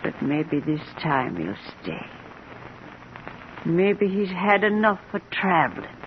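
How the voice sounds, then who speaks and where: An actress playing a frail, wistful old American backwoods mother in a vintage radio drama, plaintive and hushed. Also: on the low side, big pitch swings, slow. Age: older. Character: frail elderly backwoods mother, wistful, stubbornly sure of premonitions. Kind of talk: 1950s radio drama acting. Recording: muffled, hissy vintage radio recording.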